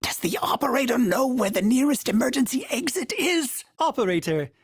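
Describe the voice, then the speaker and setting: Ordis, fussy ship AI. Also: male voice, normal speed, slightly rough, no room sound, studio quality, exaggerated voice. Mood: earnest. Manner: formal speech, refers to himself by name in the third person; sudden jumps in pitch and speed, fussy and excitable. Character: fussy, devoted male ship AI, emotional under formal politeness. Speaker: game character voice. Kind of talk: video game voice lines.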